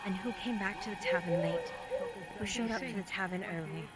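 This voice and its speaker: A woman's voice: mid-range voice, a little fast, lightly acted. A woman playing an urgent, insistent villager in an improvised roleplay. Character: urgent, insistent female villager in murder mystery.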